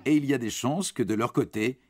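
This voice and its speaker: A man's voice: mid-range voice, a little fast. A man narrates an educational cartoon script playfully, speaking directly to a young listener. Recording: prominent music behind narration. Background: music.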